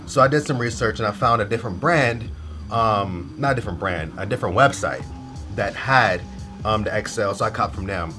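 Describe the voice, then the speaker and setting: A young man talks in a relaxed, rambling way, slangy and full of fillers. His voice sits a little low and sounds stuffed up and nasal from a cold. Music plays clearly behind him. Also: a little fast. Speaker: fashion vlogger. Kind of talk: casual haul video.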